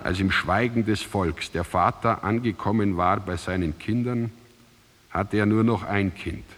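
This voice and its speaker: A man narrating a literary drama as a solemn, declamatory and deliberate recitation. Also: lowish voice. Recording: music under the voice. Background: music.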